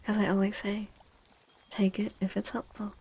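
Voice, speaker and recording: A woman speaking softly and gently in a breathy near-whisper, chatting casually as an ASMR streamer giving readings. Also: medium pitch, monotone, moderate pace. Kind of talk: soft-spoken ASMR, casual chat.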